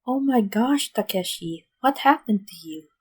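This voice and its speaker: A woman's voice: medium pitch, lively intonation, measured pace, slightly rough. A woman plays a young man in charge, worn out and exasperated. He speaks with long-suffering patience as he gives advice in an audio story. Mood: shocked concern. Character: weary, exasperated young male mafia boss giving advice.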